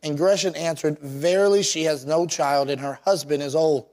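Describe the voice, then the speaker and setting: Male preacher, somewhat high-pitched, voice worn and a touch scratchy, unpolished plainspoken sermon delivery. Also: steady tone, moderate pace, darkish tone. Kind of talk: sermon with scripture reading and prayer.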